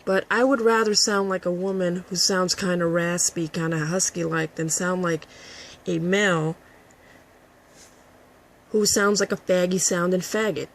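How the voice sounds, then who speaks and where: Raspy, husky woman ranting. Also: on the low side, steady tone, measured pace, slight background noise. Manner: combative, profane ranting. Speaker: vlogger. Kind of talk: addressing a critic to camera.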